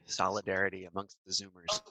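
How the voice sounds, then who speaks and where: Bright-voiced man, close and dry. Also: low voice, completely flat delivery, quick pace, dry recording, poor audio. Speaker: poetry reader joining by video call. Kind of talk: casual greeting and poem reading. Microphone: video call.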